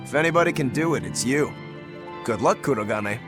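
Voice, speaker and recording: A man's voice: mid-range voice, expressive, fairly quick, over music, natural voice. An actor playing a cheeky, easygoing swordsman in a casual, wry way for a video game. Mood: friendly.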